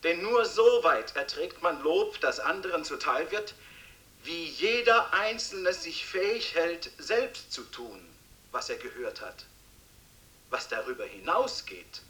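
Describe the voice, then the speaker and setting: An actor playing a solemn, formal orator declaiming a classical speech in a radio play. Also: male voice, fairly high pitch, sing-song, a little slow, sharp tone, heavily acted, poor audio.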